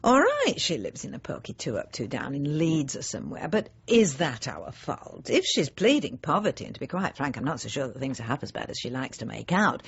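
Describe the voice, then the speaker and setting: An actress playing a snobbish, self-satisfied middle-aged housewife who chats and justifies herself in a radio drama monologue. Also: female voice, low voice, breathy edge, muffled recording, full character voice. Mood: aggrieved, pitying. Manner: chatty, self-justifying, genteel, digressive with pointed emphasis.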